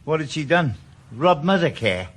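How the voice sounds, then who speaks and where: A man's voice: a little high, sing-song, moderate pace, a crowd in the background. A man playing a wisecracking retired ex-detective with a Welsh accent in a 1980s radio drama. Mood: wry. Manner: wisecracking, bluffing. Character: shabby retired ex-detective, wry and pushy. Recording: faint hiss of old radio broadcast.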